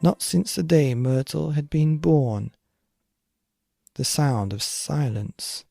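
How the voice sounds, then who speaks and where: A man narrating a children's bedtime story in an expressive, theatrical and deliberate way. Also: on the high side, gravelly, no echo, clean studio sound, faint music behind. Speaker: podcast narrator.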